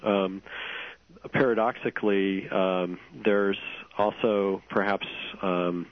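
A man with a cold and a hoarse voice, a guest author speaking hesitantly with many ums over a phone line. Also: deep voice, steady tone, slow. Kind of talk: phone-in interview.

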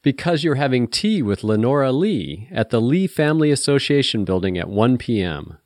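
A playful, bossy secretary with a mischievous streak, a woman acted out by a male audiobook narrator. The voice is lifted in pitch and teases as it gives orders. The sound is dry and close. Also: very animated, normal speed, no echo, lightly acted. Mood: playful, teasing. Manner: playful, teasing.

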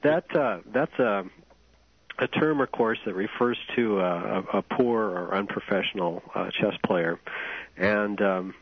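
A man with a cold and a hoarse voice, a guest author speaking hesitantly with many ums over a phone line. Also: on the low side, measured pace, dry recording. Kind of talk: phone-in interview.

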